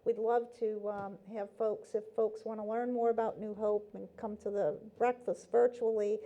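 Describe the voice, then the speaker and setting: A woman's voice: mid-range voice, flat delivery, moderate pace, mellow, lo-fi sound. A woman speaking as a talk show guest from a nonprofit, explaining things earnestly, with frequent "um"s and "you know"s. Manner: earnest, explaining, with many fillers. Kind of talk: casual interview chat.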